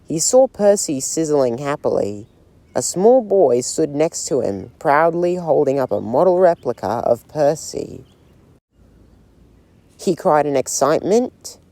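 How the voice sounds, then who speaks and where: Slow, lilting male storybook narrator. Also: dry recording. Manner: slow, gentle storytelling with lively pitch.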